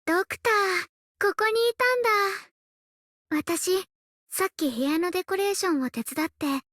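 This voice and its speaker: A woman's voice: extremely high pitch, animated, fast talker, a bit bright, no room sound, clean studio sound. A woman voicing an eager, cheerful young female operator in scripted video game lines. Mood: cheerful, excited.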